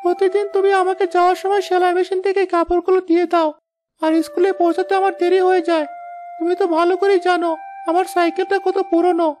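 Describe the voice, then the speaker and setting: A woman's voice: extremely high pitch, little pitch movement, fast talker, a little mellow, soft background music. A woman voicing an earnest, dutiful schoolboy from a poor family in a cartoon moral story.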